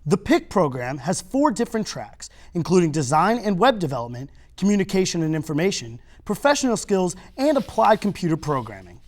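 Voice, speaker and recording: Young male campus news reporter, high and bouncy read. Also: very animated, brisk, hardly any pauses. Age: young adult. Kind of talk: scripted news narration.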